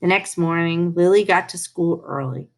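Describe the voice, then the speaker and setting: A woman reading a children's story aloud in an animated, emphatic way, pausing to comment to her listeners. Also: on the low side. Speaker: storybook reader. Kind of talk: expressive children's read-aloud with asides to listeners.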